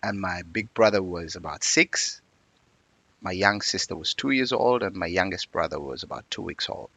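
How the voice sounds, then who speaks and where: A man's voice: deep voice, moderate pace, vocal fry, a bit bright, dry recording. A man, telling his life story as an interview guest in a reflective, earnest way. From Uganda.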